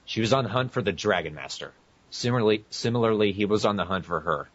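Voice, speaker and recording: A man's voice: lowish voice, expressive, fast, fairly bright tone, no echo. A man co-hosting a casual podcast, chatting with the others and sometimes reading aloud. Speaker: podcast co-host. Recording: muffled lo-fi internet podcast audio.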